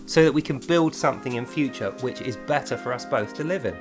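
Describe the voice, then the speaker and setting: A British man from England speaks in a medium-pitched voice as an online video creator. He is confrontational and insistent, pressing his points emphatically at a single listener. Faint music plays behind him. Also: a little fast. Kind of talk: direct-address monologue to camera or mic.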